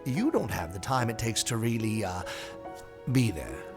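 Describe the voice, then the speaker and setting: Wildly animated eccentric bookseller. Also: male voice, fairly low pitch, moderate pace, over music. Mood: knowing, earnest. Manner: theatrical, exclamatory, playfully erudite. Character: whimsical, eccentric bookshop owner and gentle sage. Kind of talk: audio drama acting.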